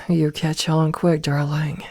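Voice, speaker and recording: A woman playing a possessive, menacingly loving female werewolf in an ASMR roleplay, speaking softly and coldly, often slipping into a whisper. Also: low voice, flat delivery, no room sound, full character voice. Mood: quietly menacing. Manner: cold, callous, deliberate word delivery. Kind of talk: ASMR roleplay, slow intimate delivery.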